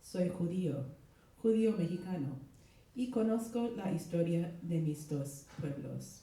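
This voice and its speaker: A middle-aged woman, a literary translator, reading aloud at a live event, her voice hoarse with a cold. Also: on the low side, expressive, normal speed, warm tone. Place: echoey indoor event space. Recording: very echoey room, distant mic.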